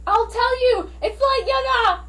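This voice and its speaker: Lady doing a scared bad guy, super high shrieky yelling, frantic, story reading. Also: normal speed. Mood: terrified. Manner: shrill, frantic shouting. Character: panicky cornered male killer, frantic and scared.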